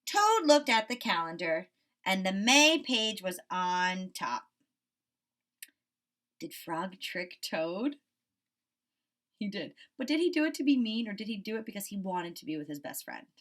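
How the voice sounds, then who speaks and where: A woman's voice: mid-range voice, sing-song, a little slow, long pauses, a bit bright, recorded from a distance. A woman teaching young children through a read-aloud, explaining simply and expressively and checking in with questions.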